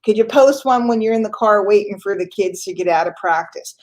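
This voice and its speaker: A woman's voice: mid-range voice, a little fast, darkish tone. A woman hosting a daily motivational business call, a little stuffy from a cold, speaking earnestly and repeating key points. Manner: casual, conversational, with fillers and self-corrections. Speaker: host.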